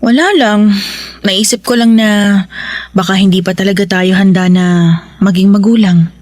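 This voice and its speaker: A woman playing a tender, anxious young wife and mother in a Tagalog radio drama, speaking in a soft, emotional, hesitant way. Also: medium pitch, steady tone, normal speed, no room sound, close to an everyday voice. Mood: hesitant, guilty.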